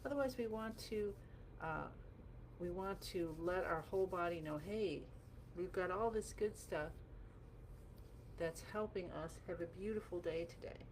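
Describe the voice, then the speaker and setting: A woman with a gravelly voice guiding a qigong class through movement and meditation in a gentle, encouraging, informal way. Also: on the low side, measured pace. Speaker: qigong teacher. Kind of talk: guided movement and meditation instruction. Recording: clearly noisy background.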